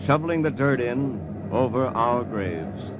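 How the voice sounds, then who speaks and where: An actor playing a steady, plain-spoken American secret agent in an old radio drama. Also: male voice, mid-range voice, measured pace, dull audio, noisy background, natural voice. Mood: steady narrating. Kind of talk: 1950s radio drama narration and acting.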